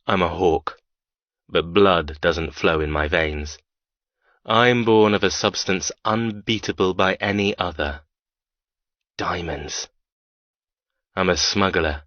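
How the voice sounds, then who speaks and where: A man playing a cold, arrogant, menacing smuggler and biker heir, narrating a dark romance audiobook in brooding, deliberate phrases. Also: low voice, no room sound, lo-fi sound.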